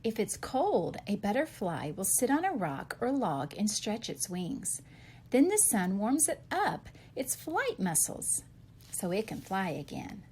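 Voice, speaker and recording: A slightly husky-voiced woman reading a children's picture book aloud, posing questions and answering them expressively for young listeners. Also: medium pitch, big pitch swings, unhurried, darkish tone.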